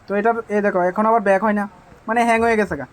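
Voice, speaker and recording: Male, Tripura Bengali accent, extremely high pitch, rapid delivery, informal repair technician demo, emphatic and repetitive. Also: steady tone, slight background noise.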